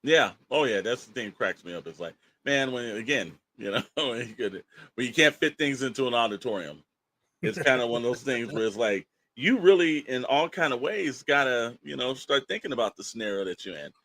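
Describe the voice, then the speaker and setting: A man's voice: mid-range voice, animated, fairly quick, fairly bright tone. A man co-hosting a podcast, giving his opinions in an emphatic, rambling, colloquial way. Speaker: podcast co-host. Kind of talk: opinionated casual podcast talk.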